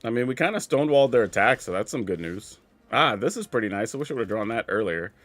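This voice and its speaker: A man's voice: fairly low pitch, animated, quick pace, fairly bright tone, no echo, faint music behind. A man, congested from a sinus cold, chatting easily and thinking aloud while streaming a card game. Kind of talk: casual live-stream chat while playing.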